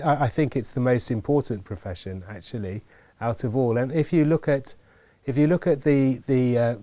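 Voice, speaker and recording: A man giving a documentary interview about education, measured and hesitant, often pausing on fillers and repeating words. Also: mid-range voice, mellow, no room sound.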